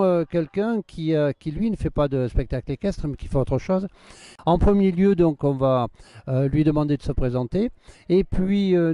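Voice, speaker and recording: A man's voice: on the high side, mellow, dry recording, dull audio. A man hosting an interview, introducing the guest and asking questions hesitantly with many fillers. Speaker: interviewer, host.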